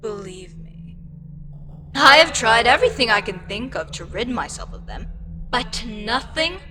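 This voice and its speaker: A woman voicing an ancient, weary eldritch deity in an intimate ASMR roleplay, speaking deliberately with pauses and breathy, whispery touches. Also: on the high side, very animated, over music. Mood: disgusted, exasperated. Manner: slow, deliberate, pausing, drifting into breathy whispers. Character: ancient eldritch deity, female, weary, frustrated, wistfully amused by mortals. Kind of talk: ASMR audio roleplay, intimate scripted monologue.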